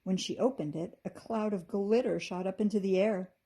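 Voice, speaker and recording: A woman with a husky voice reading a children's story aloud expressively, like a teacher to her class. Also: mid-range voice, moderate pace, dark tone.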